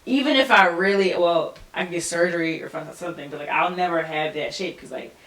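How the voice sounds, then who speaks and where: A young woman with a lowish, laid-back voice and a flat, monotone delivery, sitting back from the mic in a roomy space. Her sentences trail off as she chats casually. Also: brisk, far from the mic. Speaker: podcast co-host. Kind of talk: casual chat.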